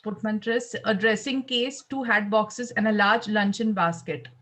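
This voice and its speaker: A woman's voice: mid-range voice, steady tone, unhurried, a bit bright. A woman playing a formal, deferential Victorian butler, polite and matter-of-fact, in an amateur table read of a stage comedy.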